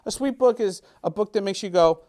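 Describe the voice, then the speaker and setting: A man's voice: high-pitched, a little fast, darkish tone. A middle-aged man hosting a children's storytime in a casual, playful and self-deprecating way, chatting and joking straight to young listeners. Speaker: storytime host.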